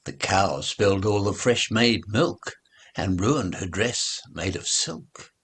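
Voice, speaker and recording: A man reading nursery rhymes aloud as a storybook recitation, in a measured, rhythmic delivery. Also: lowish voice, expressive, fairly bright tone, slightly rough.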